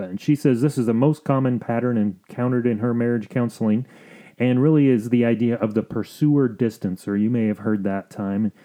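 A man hosting an advice podcast, explaining things in a measured, sometimes halting way. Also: on the low side, dark tone. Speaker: podcast host.

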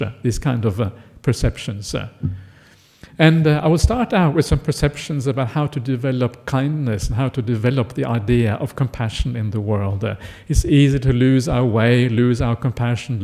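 A middle-aged man born in Norway, a Buddhist monk giving a relaxed, conversational Dharma talk full of "uh" fillers and "yeah?" checks. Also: mid-range voice, creaky.

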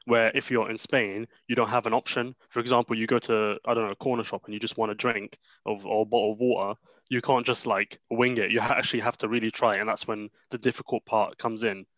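A young man with a lowish voice leads a casual discussion. The sound is dry and close, and he speaks in a measured, questioning way. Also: level tone, a bit bright, dry recording, highs cut off. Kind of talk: casual chat.

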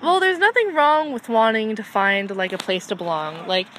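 Young woman, somewhat high voice, earnest, rambling, casual chat. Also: normal speed, a bit bright, slight background noise, low-quality recording. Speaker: panel guest in a fan discussion.

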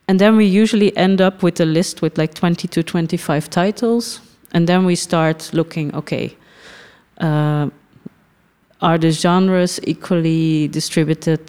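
A middle-aged woman from the Netherlands, a film festival director, speaking thoughtfully in a podcast interview with frequent pauses and soft laughs. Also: lowish voice, smooth.

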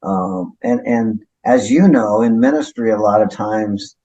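Low-voiced middle-aged pastor, reflective. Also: male voice, steady tone, a little slow, dark tone, lo-fi sound. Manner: reflective, low-key, often adds "right?". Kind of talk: conversational interview.

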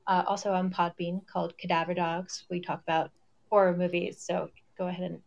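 A woman's voice: lowish voice, level tone, a little fast, creaky, a little mellow, lo-fi sound. A woman moderating a panel in casual chat, effusive and encouraging.

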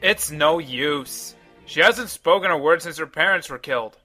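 Guy doing a grumpy town guide, pushy bright voice, music under. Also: a little high, moderate pace. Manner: brusque, shooing. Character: gruff male town guide, impatient.

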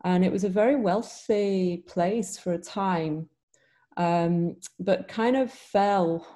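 Mellow-voiced Yorkshire woman. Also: on the low side, slow. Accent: Yorkshire with some London. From Yorkshire, England. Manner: relaxed, thoughtful, many ums. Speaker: guest. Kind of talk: casual interview chat.

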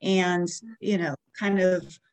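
A woman's voice: lowish voice, takes it slowly, smooth. American lady in her 40s, podcast host, chatty and supportive interview style. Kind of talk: warm conversational interviewing.

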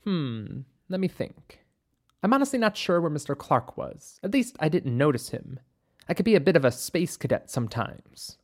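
A narrator voicing a composed, observant woman doctor with measured, precise speech and a wryly playful streak in an audiobook story. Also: deep voice, big pitch swings. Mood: thoughtful.

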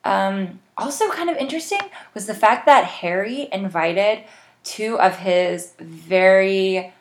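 Lowish voiced lady podcast host, chatty and gushy, rambles off on asides, roomy echo on it. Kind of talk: casual chatty celebrity news recap.

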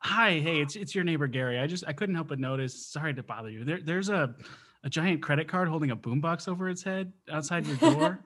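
A woman's voice: low-pitched, animated, fairly quick, fairly bright tone, husky, full character voice. A woman improvising an apologetic, nosy male neighbor in a comedy podcast.

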